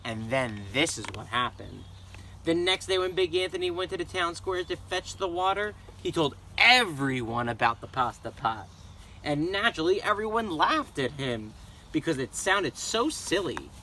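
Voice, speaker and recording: A man reading a children's story aloud in an expressive, dramatic way. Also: fairly high pitch, moderate pace, slight background noise, low-quality recording. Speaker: storybook reader. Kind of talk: children's read-aloud narration.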